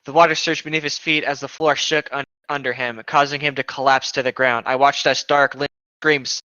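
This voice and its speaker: A man's voice: mid-range voice, little pitch movement, fairly quick, pushed and bright, clean tone, dry recording. A man reading a story aloud as a co-host, with jokey, sweary asides, on a slightly dull mic.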